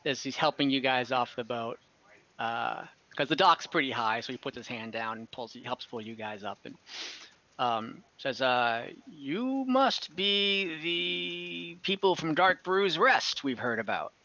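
Game master, young man, bright voice, loose rambling narration, dull call audio. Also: mid-range voice. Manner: relaxed, rambling narration with many fillers. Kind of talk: narrating a tabletop game over video call. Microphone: headset on a video call.

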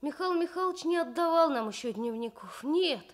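Woman doing a little schoolboy fibbing in a radio story, high voice, stumbles over words. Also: expressive, a little fast. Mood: defensive. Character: schoolboy Kostik, evasive, stammering young fibber.

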